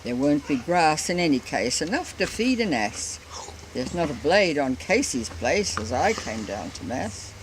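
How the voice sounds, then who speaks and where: On a very noisy home recording, an older woman plays a drought-weary Australian country man from a bush ballad. He grumbles in a folksy, emphatic way, worried and lively in pitch. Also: unhurried. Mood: worried grumbling.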